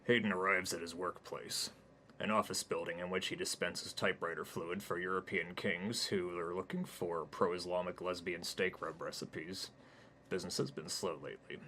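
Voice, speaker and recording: Low-voiced guy reading his own silly story, deadpan, a bit of hum. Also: moderate pace.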